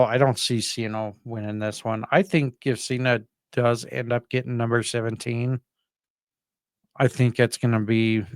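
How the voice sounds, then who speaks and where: A man's voice: medium pitch, darkish tone, clean tone, no echo, studio quality. A man co-hosting a podcast in casual sports talk, rambling a little as he thinks aloud. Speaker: podcast co-host. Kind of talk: casual sports prediction chat.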